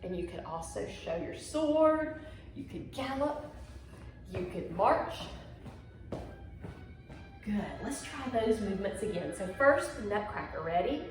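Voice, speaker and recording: A very echoey room surrounds this woman, a dance teacher with a medium-pitched voice and music behind her. She explains things simply, one step at a time, and gives short encouraging prompts as she demonstrates. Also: sing-song, a little slow, pauses often. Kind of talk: instructional video lesson, demonstrating to pupils. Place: indoor room at home.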